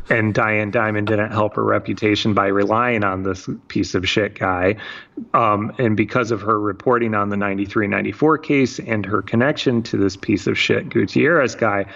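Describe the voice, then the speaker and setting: A man from Michigan hosts and narrates in a measured way that turns blunt at times. His voice sits low. The recording sounds dull and lo-fi. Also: vocal fry, a bit bright. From Michigan, USA. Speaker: podcast host. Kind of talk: scripted narration mixed with casual chat.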